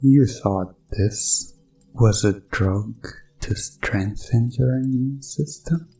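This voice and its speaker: A man acts out a sinister doctor in a hypnosis ASMR audio drama, with a mellow voice over music. He speaks extremely slowly, with deliberate pauses, soothing and coaxing while condescending to his subject. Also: mid-range voice, vocal fry, low-quality recording. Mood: smug, menacing.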